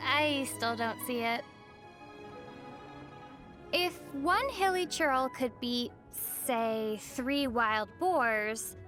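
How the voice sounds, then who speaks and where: A young traveler girl speaks video game dialogue in a high, bright voice. She comes across as wry, teasing and sensible, with soft music underneath. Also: exaggerated voice. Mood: skeptical.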